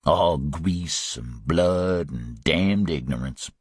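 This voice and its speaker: A man voicing a cool, menacing Southern man with a Southern US accent, speaking low and slow in an audiobook. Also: breathy edge, dry recording, clean studio sound, exaggerated voice. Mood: taunting.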